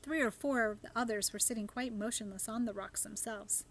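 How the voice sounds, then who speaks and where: A woman's voice: a little high, steady tone, brisk, a little hiss or hum. A woman narrating a children's book aloud as a storybook reader.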